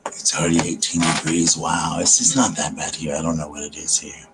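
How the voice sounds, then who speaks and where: A man hosting a late-night live ASMR chat, talking low and slowly with a deep voice and many ums as he greets viewers by name. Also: flat delivery, background noise. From South Carolina.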